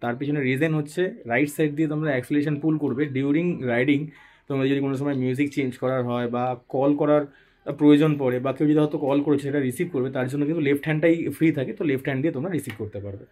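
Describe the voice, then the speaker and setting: A man's voice: medium pitch, steady tone, racing, a little mellow. Male vlogger, mid-range voice, informal hands-on installation walkthrough with English words mixed in. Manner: mixes English words into explanations. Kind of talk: casual hands-on installation tutorial.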